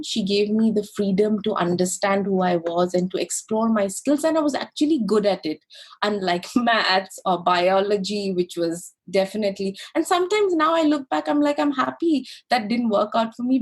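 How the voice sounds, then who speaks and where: A woman from India, a guest on a podcast, speaking in an animated, thoughtful way with many fillers and restarts. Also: medium pitch, breathy edge. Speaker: podcast guest, service designer. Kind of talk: casual interview conversation.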